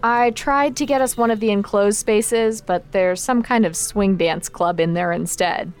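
A woman's voice: medium pitch, measured pace, clear, resonant tone, faint music behind, natural voice. An actress playing a confident, supportive young woman in an audio drama, talking in a casual, frank, dry way. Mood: wry, slightly embarrassed. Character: confident, wry, supportive young woman training her friend. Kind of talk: naturalistic audio drama acting.

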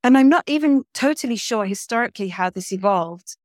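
A woman's voice: on the high side, lively intonation, fairly quick, a little mellow, no room sound, clean studio sound. A middle-aged woman from England, a guest in a podcast interview, talking in a chatty, thoughtful way with many fillers and restarts.

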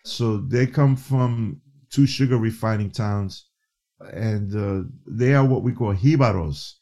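A man from New City, a guest and educator, telling stories in a casual interview, animated and often restarting his phrases. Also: lowish voice, slow pace. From New York City. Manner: animated, restarts phrases, frequent "you know".

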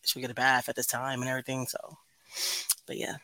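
A woman with a deep voice, chatting casually as a podcast guest, laid-back and rambling, swearing easily. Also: monotone, fast, sharp tone.

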